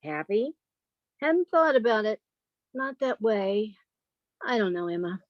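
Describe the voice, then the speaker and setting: A woman's voice: medium pitch, sing-song, a little slow, no room sound, muffled recording. A woman playing a timid, yielding younger spinster sister in a radio drama, hesitant and apologetic. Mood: meek, wistful. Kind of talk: old time radio drama acting.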